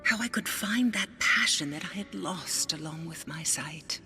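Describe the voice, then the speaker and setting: A woman voicing a blind older songstress in a video game, who speaks softly, often in a hushed, intimate half-whisper, wise and gently teasing. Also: low-pitched, measured pace, soft background music, full character voice. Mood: reflective. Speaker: game character.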